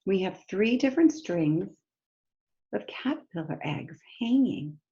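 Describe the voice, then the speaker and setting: A woman reading a picture book aloud to young children like a teacher, pausing to explain simply and ask them questions directly. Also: medium pitch, lively intonation, warm tone, dull audio. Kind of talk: read-aloud story time lesson for young children, with explanatory asides.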